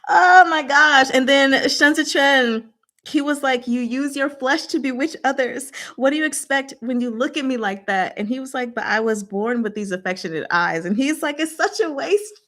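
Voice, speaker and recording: A woman hosting a casual book discussion, retelling scenes in a giggly, animated, slangy way and acting out the dialogue. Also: high-pitched, normal speed.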